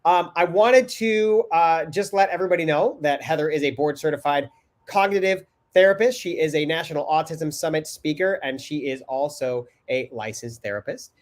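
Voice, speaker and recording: A man's voice: on the high side, normal speed. Male host, middle-aged, congested, upbeat webcam chat. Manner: upbeat, energetic, many fillers. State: congested, a little stuffy. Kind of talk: casual live video chat. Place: home room indoors. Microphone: video call webcam.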